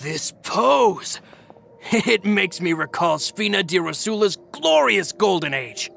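A courteous middle-aged henchman, loyal and deferential, with a creaky voice that moves up and down a lot. Faint music plays under the game dialogue. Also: high-pitched, sing-song, takes it slowly, a bit bright, heavily acted. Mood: theatrical admiration. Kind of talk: anime video game voice acting.

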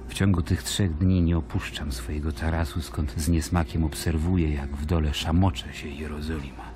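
An actor playing a weary, wary Roman governor in a radio play, brooding and disdainful as he voices his letters in measured phrases. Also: very deep voice, fairly even intonation. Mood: tense, disdainful. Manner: brooding, measured, disdainful, dropping at times to near whisper. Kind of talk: dramatic monologue in a radio play, letter read aloud. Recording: music bed behind the voice. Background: music.

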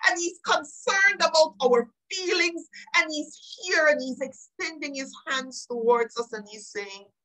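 High-pitched, impassioned woman preacher, tearful and slow. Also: pushed and bright, poor audio. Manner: emotional, pleading, emphatic, repeating phrases for effect. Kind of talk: impassioned live online sermon.

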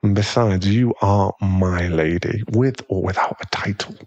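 A man with a British accent playing a tender, protective aristocratic werewolf fiancé in a soothing ASMR boyfriend roleplay, his voice husky and genteel. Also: sits low, unhurried, a little mellow, dull audio. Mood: playful, affectionate.

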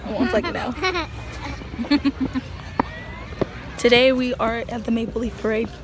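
A young woman vlogging on her phone at arm's length outdoors, her voice worn down by a cough, talking excitedly and calling to the kids around her. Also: fairly high pitch, slow. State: lost voice, coughing. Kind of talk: casual vlog narration. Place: outdoor street parade and carnival. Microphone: phone held at arm's length. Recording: loud music and crowd behind. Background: crowd noise, music.

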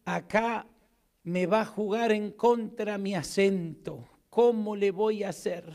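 A middle-aged man with an Argentine accent, preaching a sermon from a church pulpit into a handheld mic, swinging from loud calls for amens to quiet asides. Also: high-pitched, slow. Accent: Argentine Spanish. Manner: emphatic preaching, rising loud to call for amens and dropping to a hush. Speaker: pastor. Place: church hall with a stage. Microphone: handheld mic at a pulpit, far camera.

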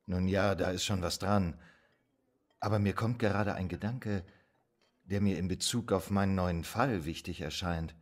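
An actor playing a composed, gently teasing Viennese psychoanalyst in a German audio drama, with a gravelly, husky voice. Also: male voice, low-pitched, a little slow. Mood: confiding.

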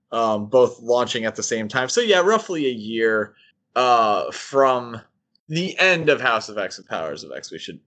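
A man with a mid-pitched voice talks casually as a podcast co-host. His delivery is halting and conversational, with a self-deprecating streak. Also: fairly bright tone. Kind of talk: casual comic-book discussion.

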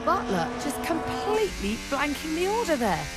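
A woman's voice: high voice, wildly swooping pitch, moderate pace, gravelly, over loud music, heavily acted. A woman as a motor racing commentator, calling the action in a video game. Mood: intent. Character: animated female racing TV commentator. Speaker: race commentator. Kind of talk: live sports commentary.

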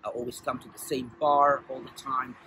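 A man's voice: mid-range voice, little pitch movement, moderate pace, bright, forceful tone. A man in his forties from South Africa, talking earnestly in a street interview, with many fillers and restarts, recorded on a clip-on mic outdoors. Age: 40s. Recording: outdoor street with faint traffic noise.

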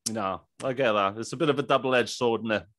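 British bloke hosting a podcast, mid pitched voice, matey and casual, close dry mic. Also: male voice, a little fast, a bit bright, no echo. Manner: matey, informal. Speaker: podcast host. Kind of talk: casual interview chat. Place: small indoor room, on a video call. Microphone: studio microphone on an arm, close.

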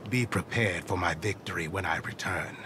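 An actor playing a solemn, zealous warrior in a video game, speaking formally and with intensity. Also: male voice, sits low, moderate pace, noisy background. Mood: solemn, confident. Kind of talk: video game cutscene acting.

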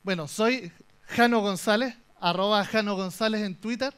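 A young Chilean man with a fairly high voice gives a conference talk from the stage. He jokes his way through the story, lively and playful, with a Chilean accent throughout. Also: normal speed, smooth. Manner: animated, joking storyteller. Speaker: conference speaker. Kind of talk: humorous tech talk.

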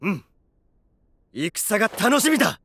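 A man's voice: high voice, big pitch swings, slow, a bit bright, slight background noise, full character voice. An actor voicing an earnest, battle-loving young knightly king in a fantasy drama, pleased with himself. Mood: eager.

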